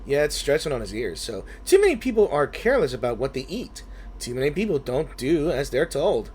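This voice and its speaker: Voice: medium pitch, brisk, slightly rough. A gaming streamer giving casual, reactive commentary over a game and reading dialogue along. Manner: animated, reactive. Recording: game music faintly behind.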